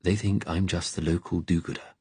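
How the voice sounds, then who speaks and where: A man voicing a kindly, weary vicar in an audiobook, gentle but direct and firm. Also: low voice, steady tone, brisk, slightly rough, no echo, exaggerated voice. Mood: gentle, steady. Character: kindly, weary male vicar, calm and firmly commanding.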